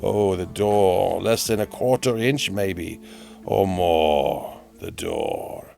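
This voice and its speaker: Man, gravelly low voice, theatrical storybook narration, whimsical, melodramatic, repeated phrases, music behind. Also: slow pace, a little mellow. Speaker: narrator of a DIY build series. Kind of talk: theatrical storybook-like narration of a hobby build video.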